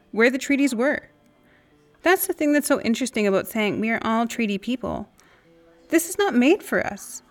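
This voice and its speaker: A woman's voice: a little high, lightly acted. A woman playing a candid, indignant friend in a naturalistic audio drama conversation. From Canada, Saskatchewan.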